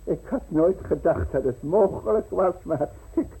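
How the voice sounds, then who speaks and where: An actor playing a kindly older politician and fatherly uncle in an old radio drama, with a husky, jovial delivery. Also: fairly high pitch, lively intonation, measured pace, dark tone, full character voice, poor audio. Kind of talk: 1950s radio drama acting.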